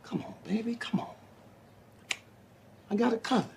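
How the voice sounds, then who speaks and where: An actor playing a smooth-talking, evasive preacher husband in a screen drama, coaxing and reassuring his wife. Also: sits high, expressive, brisk, background noise.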